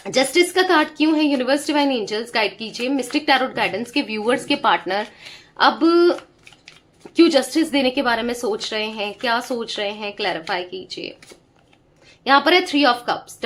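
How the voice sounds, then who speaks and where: A young woman giving a tarot reading straight to the viewer. She has a sore throat from a cold and talks emphatically, repeating herself and often checking in with the viewer. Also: fairly high pitch. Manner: emphatic, repetitive, talks straight to the viewer with frequent "theek hai?". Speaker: tarot reader. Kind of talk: direct-to-camera tarot reading.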